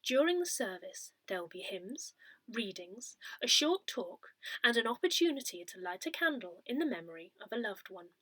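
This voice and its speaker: Female newsletter reader, slightly high voice, animated intonation, careful measured delivery. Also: no room sound. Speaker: volunteer reader of an audio parish newsletter. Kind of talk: read-aloud newsletter narration.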